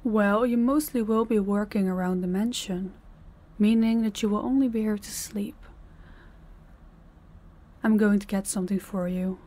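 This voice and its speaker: Woman acting a mellow, commanding female mafia boss. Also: mid-range voice, steady tone, lightly acted. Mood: cool, commanding. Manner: commanding, condescending, deliberate. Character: dominant, cold female mafia boss, condescending and controlling. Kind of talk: roleplay audio acting, addressing the listener.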